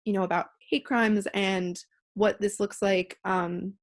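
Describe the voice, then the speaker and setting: Woman, twenties, American accent, medium pitch, conversational interview guest. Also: steady tone, unhurried. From Pittsburgh, United States. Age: 20s.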